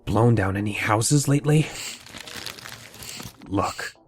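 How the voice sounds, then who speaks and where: A man's voice: on the low side, slow pace, slight background noise. A man playing a pushy, frantic trickster in an audio drama, urgent and repeating himself. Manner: urgent, stammering repetition. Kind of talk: fan-made audio drama. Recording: music behind the voice.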